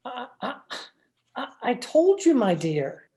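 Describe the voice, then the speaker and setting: Woman playing a timid, stammering henpecked husband, swooping pitch. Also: big pitch swings, slow pace, dark tone. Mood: timid, flustered. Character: meek, henpecked, frightened husband. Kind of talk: old-time radio thriller acting.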